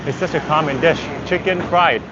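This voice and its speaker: A man's voice: fairly high pitch, normal speed. A man who is a native Vietnamese speaker chats casually in English, rambling and thinking aloud like a walking travel livestreamer. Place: outdoors on a busy city street. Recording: dull audio with loud street traffic. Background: traffic, vehicles, urban street noise.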